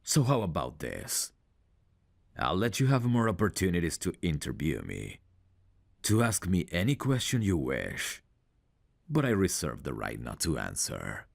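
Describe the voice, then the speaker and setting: A man playing a big, confident, flirtatious champion fighter in an intimate ASMR audio roleplay, speaking in a deliberate, teasing manner. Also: sits low, wildly swooping pitch, gravelly, no echo, clean studio sound, heavily acted. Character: big confident champion fighter, smooth, flirtatious, guarded about his past. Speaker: voice actor.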